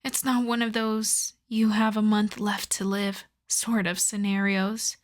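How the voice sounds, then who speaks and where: Woman acting a slow, soft-spoken vampire lady. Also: mid-range voice, level tone, lightly acted. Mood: reassuring, measured. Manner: soft-spoken, courteous, measured, often breathy. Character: polite, coyly obsessive female vampire lab technician. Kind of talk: ASMR roleplay, addressing the listener.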